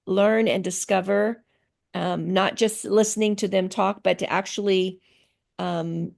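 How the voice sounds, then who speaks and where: A middle-aged woman talks slowly and carefully on a video call, pausing often to gather her thoughts. Her voice has a gravelly, creaky texture. She answers in a relaxed, conversational way. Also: mid-range voice. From grew up in Thailand. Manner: slow, thoughtful, with many pauses and fillers. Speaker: interviewee, teacher. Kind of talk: casual interview answers.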